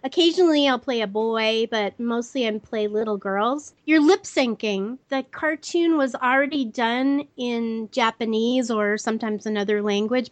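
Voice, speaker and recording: A woman's voice: on the high side, no room sound. A middle-aged woman voice actress, speaking casually in an interview from her own office over an online call, with a youthful, kid-like natural voice. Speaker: voice actress guest. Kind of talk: conversational interview.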